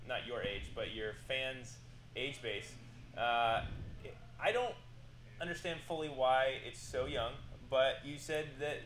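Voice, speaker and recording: A man's voice: medium pitch, slow, fairly bright tone, a little hiss or hum. Joking young male host, roomy sound. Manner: chatty, jokey questions with fillers. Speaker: interviewer host. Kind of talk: casual interview questions. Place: indoor studio set with couch.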